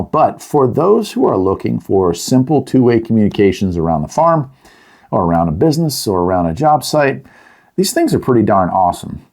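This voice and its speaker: A man's voice: fairly low pitch, a little mellow. A middle-aged man giving a casual, unscripted product review in a folksy, chatty, plain-spoken way. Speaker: host of a product review channel.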